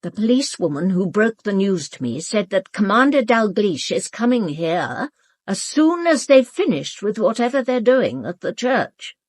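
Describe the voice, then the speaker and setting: A woman playing an imperious, sharp-witted elderly aristocratic lady in a British radio drama, with an upper-class English accent and a formal, cutting, controlled delivery. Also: mid-range voice, sing-song, moderate pace, dry recording, clean studio sound. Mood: cool, insistent. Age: older.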